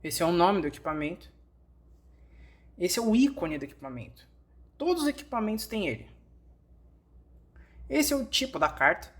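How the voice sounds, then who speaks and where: A woman's voice: lowish voice, slightly rough. Brazilian woman narrating a tutorial. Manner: explanatory, step by step. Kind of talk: scripted instructional narration, fan dub.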